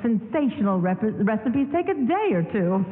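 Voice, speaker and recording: A woman's voice: on the high side, very animated, normal speed. A woman playing a chatty celebrity wife in a scripted 1940s radio interview and soap plug. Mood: obliging, chatty. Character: chirpy, obliging celebrity wife plugging soap. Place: live on stage in a town hall theatre. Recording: thin phone-like sound, echoey hall, noisy.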